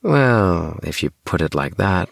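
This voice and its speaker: A man's voice: low voice, big pitch swings, a little slow, gravelly, no echo, studio quality, voice pushed up, exaggerated voice. Man voicing a sceptical, bossy mum. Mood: grudging, cross. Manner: stern, disbelieving. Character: sceptical, bossy, smug mother of a boy.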